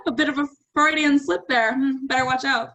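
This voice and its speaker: A woman's voice: high voice, normal speed, sharp tone, rough recording. A woman playing a wry, teasing young woman on an awkward date in a comedy play reading, speaking casually and a little hesitantly. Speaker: actor in a staged play reading.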